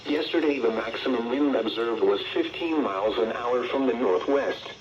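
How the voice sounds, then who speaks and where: A man's voice: mid-range voice, moderate pace. An American man's synthetic voice reading a scripted weather bulletin formally and impersonally through a radio speaker. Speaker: automated weather radio announcer. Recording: dull, noisy weather radio reception.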